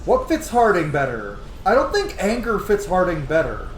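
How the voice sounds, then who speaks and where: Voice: medium pitch, huge pitch swings, normal speed, distant mic, a little hiss or hum. A gamer giving casual, sweary and enthusiastic let's play commentary while playing a video game. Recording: echoey room, loud game music behind. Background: loud video game music.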